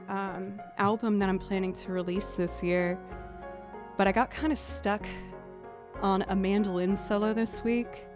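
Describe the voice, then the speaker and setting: A young woman with a lower, mid-pitched voice chats casually to viewers on her live stream. She rambles a little, thinks aloud and fills gaps with easy asides. Faint music sits behind her. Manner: chatty, thinking aloud with fillers.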